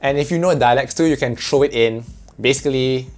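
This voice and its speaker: A man's voice: medium pitch, brisk, soft background music. A man from Malaysia with a Malaysian English accent, explaining things in a chatty, joking vlog. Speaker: vlogger.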